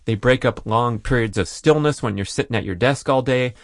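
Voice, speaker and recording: A man's voice: medium pitch, a little fast, no room sound, clean studio sound. A man hosting a solo listener Q&A podcast, rambling and jokey with self-deprecating asides. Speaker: podcast host.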